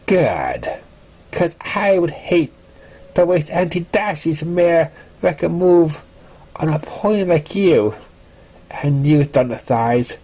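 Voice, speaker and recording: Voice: mid-range voice, very animated, slow, warm tone, pitched above the performer's own voice, full character voice. A narrator voicing a brash, protective young pony who threatens and insults cockily in a storybook reading. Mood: cocky scorn.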